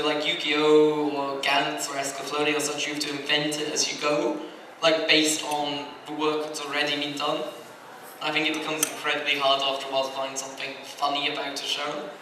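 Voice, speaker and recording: A man speaking as an industry panelist, explaining his views in a wordy, halting, self-correcting way. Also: on the high side. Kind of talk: casual panel discussion. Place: large indoor convention panel room. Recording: echoey room, distant mic, loud noise.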